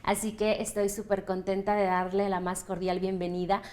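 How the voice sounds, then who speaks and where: A woman's voice: mid-range voice, level tone, moderate pace, darkish tone. A woman hosting a television program, with a bubbly, emphatic presenter delivery as she opens the show and interviews a guest. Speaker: TV host.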